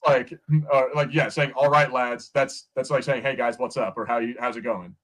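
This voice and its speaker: A man's voice: medium pitch, fairly even intonation, moderate pace, a bit bright, low-quality recording. An American man co-hosting a podcast, chatting casually and rambling as he rephrases his points in a review discussion. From United States. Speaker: podcast co-host. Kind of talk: casual film and TV review chat.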